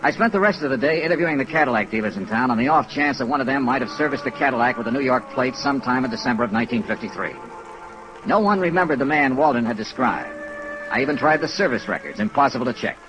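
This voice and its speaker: An actor playing a brisk, skeptical insurance investigator in a 1950s radio drama, clipped and questioning as he narrates and interrogates. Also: medium pitch, over music, lightly acted, rough recording. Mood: dry, matter-of-fact. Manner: clipped, hard-boiled, questioning. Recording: vintage radio recording under rain noise. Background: steady rain sounds.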